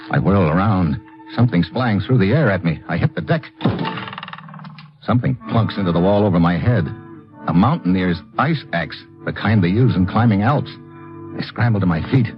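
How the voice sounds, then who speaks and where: An actor playing a tough, wry American agent in clipped, sardonic old radio detective style. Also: male voice, deep voice. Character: tough, wry American male agent narrating his own adventure. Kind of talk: 1950s radio detective acting. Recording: muffled old radio recording with music.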